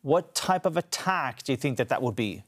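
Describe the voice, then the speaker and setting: A man's voice: medium pitch, lively intonation, normal speed. Composed male news anchor. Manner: composed, formal questioning. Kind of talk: news interview and presenting.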